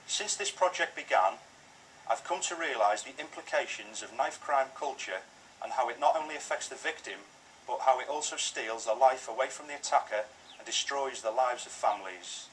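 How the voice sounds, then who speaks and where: A man's voice: medium pitch, moderate pace, a bit bright. A British man, a police officer, giving a scripted public safety appeal in a formal, earnest manner. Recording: distant, slightly noisy screen playback.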